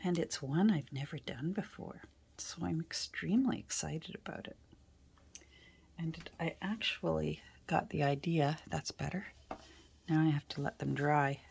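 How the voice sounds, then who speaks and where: A woman with a stuffy, congested cold, chatting casually while she crafts, rambling softly and often dropping into a whisper. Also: deep voice, measured pace, gravelly, husky. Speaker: journal-making crafter. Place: indoors at a craft worktable.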